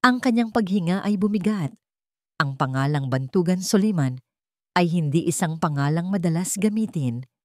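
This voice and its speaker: A woman reading a dramatic scripted story with a measured, suspenseful delivery. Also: fairly low pitch, lively intonation, darkish tone, no echo, studio quality. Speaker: story narrator.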